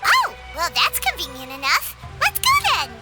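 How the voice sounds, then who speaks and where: A tiny childlike fairy companion in a video game, cheeky, bossy and excitable. The voice is extremely high and swings about theatrically. Loud music plays behind it. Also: female voice, moderate pace, exaggerated voice. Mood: curious. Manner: exclamatory, theatrical, refers to herself by name. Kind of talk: video game cartoon voice acting.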